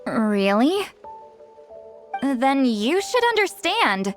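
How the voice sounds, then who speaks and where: An ageless anime game shaman with a youthful, fairly high voice. She is reclusive, awkward and guarded, with a melancholy streak, and takes her time over her words. Music plays behind her. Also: big pitch swings, drawn-out words, exaggerated voice. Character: centuries-old reclusive female shaman, young-sounding, awkward, guarded, melancholy. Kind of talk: anime-style video game voice acting.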